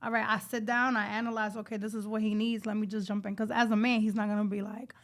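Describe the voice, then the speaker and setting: A woman's voice: mid-range voice, level tone, fast talker. A woman in her late thirties, a podcast guest talking openly in casual chat and leaning on filler phrases. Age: 30s. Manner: chatty, open, lots of filler phrases.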